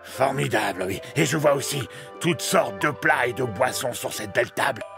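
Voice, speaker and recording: Casting: elderly cartoon donkey, gravelly and hearty, sing-song phrasing, genial leader, soft music underneath. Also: male voice, medium pitch, lively intonation, moderate pace. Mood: leading, excited. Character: genial old donkey, proud singer leading his friends. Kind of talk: children's cartoon dub acting.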